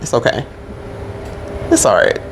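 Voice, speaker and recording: A woman co-hosting a podcast in casual chat, animated and quick to laugh, with a naturally low voice. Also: a little hiss or hum. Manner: animated, laughs often, repeats herself. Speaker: podcast co-host.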